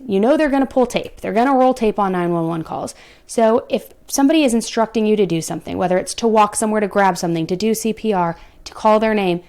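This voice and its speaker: A woman's voice: mid-range voice, darkish tone. A young woman hosting a true crime show, recounting a case and breaking off into blunt, exasperated asides to her viewers. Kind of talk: true crime storytelling with commentary.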